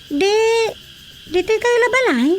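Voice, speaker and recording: A woman's voice: extremely high pitch, expressive, measured pace, slight background noise. A woman with an Ilocano accent playing a questioning, nagging village woman in a radio comedy drama. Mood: pressing, nagging.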